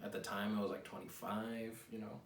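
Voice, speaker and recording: A man in his thirties chatting casually to camera as a writer, rambling in a halting, self-deprecating way. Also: on the low side, level tone. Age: 30s. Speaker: writer promoting own poetry book. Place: small home office room, indoors. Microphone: camera a few feet away. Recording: roomy, distant from the mic.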